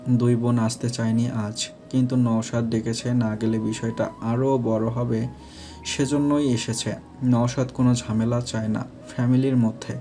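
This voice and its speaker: A man's voice: medium pitch, little pitch movement, normal speed, warm tone. A man narrating a scripted romantic family story as the narrator. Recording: faint music behind.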